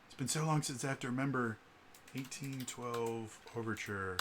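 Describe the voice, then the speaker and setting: A man with a stutter giving casual spoken commentary as a vlogger, halting with many fillers and repeated sounds. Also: mid-range voice, background noise.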